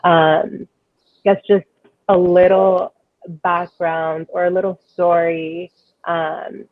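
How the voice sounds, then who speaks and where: A woman's voice: lowish voice, flat delivery, slow pace, no echo. Woman from the dominican republic, chatty and informal, guest talk over a dull muffled video call. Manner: chatty, informal, light nervous laughs. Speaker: guest speaker. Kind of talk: casual talk to a congregation.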